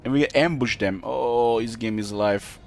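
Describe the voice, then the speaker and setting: A young man commenting on his strategy game play in a halting way, with many fillers and self-corrections, while losing his voice. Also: medium pitch, vocal fry. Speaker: game streamer. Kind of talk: casual gameplay commentary.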